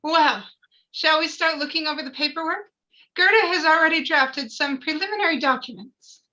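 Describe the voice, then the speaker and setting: Woman acting an earnest gushing wife, high pitch, very bright tone, polite, table-read drama, slightly muffled mic. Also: normal speed. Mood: polite hostess. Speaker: actor in a live table read.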